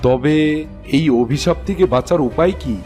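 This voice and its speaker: A man's voice: fairly high pitch, normal speed, mellow, close to an everyday voice. Earnest, anxious king in a cartoon, acted by a man. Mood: troubled. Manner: earnest, pleading. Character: anxious, pleading fairy-tale king, fond husband and father. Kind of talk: fairy-tale cartoon acting. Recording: music behind the voice. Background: music.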